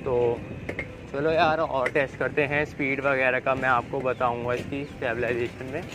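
A man's voice: medium pitch, flat delivery, normal speed, noisy background. Chatty young man vlogging outdoors. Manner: chatty, addresses viewers as guys. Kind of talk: casual unboxing and test vlog. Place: outdoors in an open dirt field, later riding on a street. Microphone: phone camera, later a chest-mounted phone.

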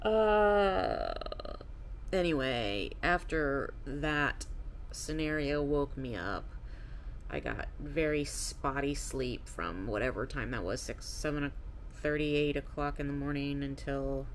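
A woman's voice: extremely low pitch, expressive, slow pace, slight background noise. A woman in her thirties talking to a handheld camera in a casual travel vlog, rambling and tired, laughing often. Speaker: travel vlogger. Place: hotel room, then a car. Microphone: phone or camera at arm's length.

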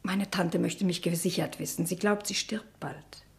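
A woman's voice: on the low side, fairly even intonation, moderate pace, creaky, slightly rough, exaggerated voice. An actress in a German radio drama playing a shy, naive ageing spinster niece, hesitant and plaintive in her speech. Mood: subdued, earnest.

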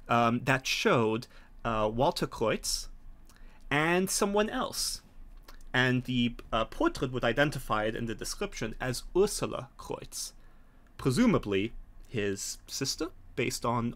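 A middle-aged man, explaining a tabletop scenario as game master in an animated way. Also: medium pitch. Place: small home room, on a video call.

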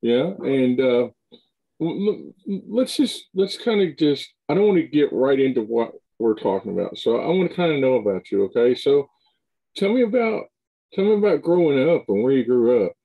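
A man's voice: mid-range voice, expressive, normal speed, breathy edge, highs cut off. A middle-aged man hosting an interview over a video call from a home room, talking loosely with many restarts. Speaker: show host. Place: home room with shelves of collectibles.

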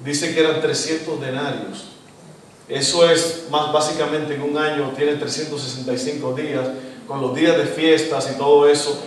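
A middle-aged Dominican man with a Dominican Spanish accent, preaching a sermon as guest pastor, animated and asking the congregation questions. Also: fairly high pitch, normal speed, recorded from a distance, a little hiss or hum. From Dominican Republic. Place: church stage, indoors, large hall. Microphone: handheld mic. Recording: echoey hall, recorded from afar.

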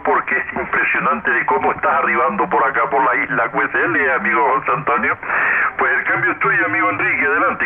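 Gravelly man over crackly radio. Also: medium pitch. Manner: effusive, frequent tag questions. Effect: two-way radio. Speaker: amateur radio operator. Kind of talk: CB radio contact chat. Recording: noisy narrowband radio transmission with static.

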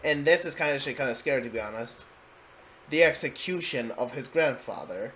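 A man giving casual reaction commentary, halting and amused, often repeating his phrases. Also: mid-range voice, expressive, fairly bright tone, slight background noise. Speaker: reaction video host.

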